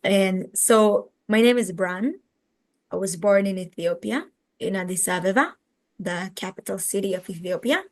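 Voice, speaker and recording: A woman in her twenties from Israel with an Israeli accent, answering interview questions conversationally and often searching for words. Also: mid-range voice. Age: 20s. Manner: halting, searching for words in a second language. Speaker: interview guest, educator and social media activist.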